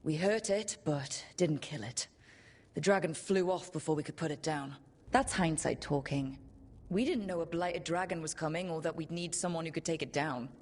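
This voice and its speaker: A woman's voice: sits low, moderate pace. An actress playing a resolute, wry and plain-spoken female adventuring leader in a video game. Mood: steady, wry. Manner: direct, wry, down-to-earth. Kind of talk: video game cinematic acting.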